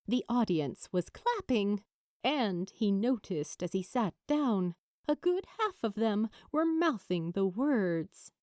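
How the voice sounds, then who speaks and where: A woman reading fiction as an audiobook narrator, in an expressive storytelling style. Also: mid-range voice, slow, dry recording, studio quality.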